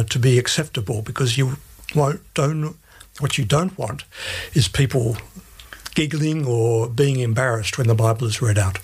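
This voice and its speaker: A man's voice: medium pitch, husky. A middle-aged Australian man giving plain-spoken, methodical explanations as an interview guest. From Australia, grew up in Sydney. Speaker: interview guest, Bible translation advisor.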